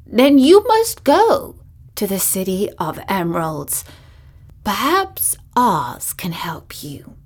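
A woman voicing a kindly little old witch woman who speaks gently and sometimes in a whisper. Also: medium pitch, big pitch swings, slow.

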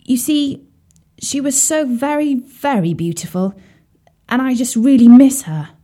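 A woman's voice: high-pitched, unhurried, warm tone, voice pushed up. A woman voicing a glum, lovelorn male frog who was once a prince, mournful and hesitant, in a children's story. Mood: glum, pining.

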